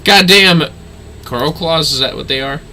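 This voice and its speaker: A man's voice: a little high, moderate pace, pushed and bright, slight background noise. A man chatting casually as a video game streamer, informal and often muttering to himself. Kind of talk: casual livestream gaming chat.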